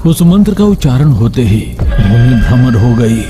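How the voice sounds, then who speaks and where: A man's voice: medium pitch, sing-song, unhurried, over music. A man narrating a scripted cartoon story. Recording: long hall reverb, background noise.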